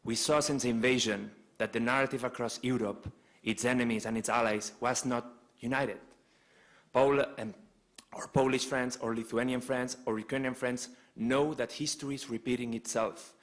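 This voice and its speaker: A young man from Spain, asking a polite, earnest question as a student, with halting restarts. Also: medium pitch, fairly even intonation. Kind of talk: audience question at a lecture Q&A.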